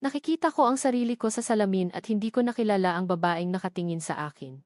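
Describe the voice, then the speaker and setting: A despairing young mother, voiced by a woman narrator, confiding her loneliness in a sorrowful story. Her voice is medium in pitch, confessional in manner and recorded very dry. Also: fast, a little mellow, dry recording, natural voice. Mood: lonely, sad.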